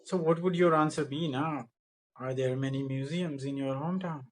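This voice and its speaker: A man's voice: on the high side, moderate pace, slight background noise. Informal male tutor coaching spoken English. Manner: instructive, informal. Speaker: English speaking test tutor. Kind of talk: conversational coaching and mock interview questions.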